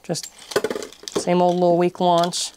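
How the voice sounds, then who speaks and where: A woman's voice: on the low side, completely flat delivery, slow pace. A woman giving casual, offhand commentary while testing toys, stumbling over and repeating words. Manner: offhand, repeats words and restarts, many fillers. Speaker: toy-testing video host. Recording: loud noise, clicks behind voice.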